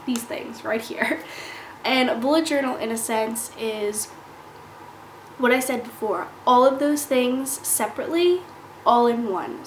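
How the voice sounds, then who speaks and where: Nasal-sounding woman getting over a cold. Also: on the high side. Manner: chatty, earnest, explaining step by step. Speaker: vlogger. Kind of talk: casual sit-down talk to camera. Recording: echoey room with faint hiss.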